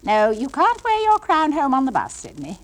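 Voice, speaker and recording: A woman playing a patient, brightly patronising English nursery school teacher in a comic monologue, coaxing and gently scolding small children in a sing-song way. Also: sits high, wildly swooping pitch, normal speed. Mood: patient, encouraging. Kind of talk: comic monologue, one side of classroom talk.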